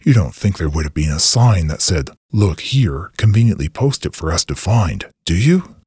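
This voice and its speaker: A man's voice: low-pitched, normal speed, a little mellow, slightly rough, no echo, clean studio sound, voice pushed down. A man voicing a domineering, impatient old scientist who leads a space mission in an audiobook, speaking in a curt, commanding, condescending way. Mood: irritated, condescending.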